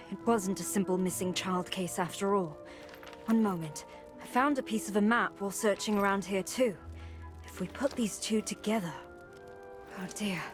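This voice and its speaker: An actress playing a brisk, businesslike resistance fighter on a mission in a video game. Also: female voice, mid-range voice, close to an everyday voice. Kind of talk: video game character dialogue. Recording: clear music behind the voice.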